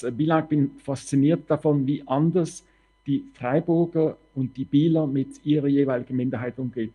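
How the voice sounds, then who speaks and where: A man's voice: mid-range voice, mellow. A man moderating a panel and putting questions in spontaneous speech.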